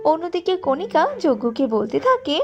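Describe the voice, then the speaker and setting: A woman's voice: high-pitched, very animated, normal speed, creaky, darkish tone. A woman narrating a scripted cartoon story, with music behind her. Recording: slightly dull audio with music behind.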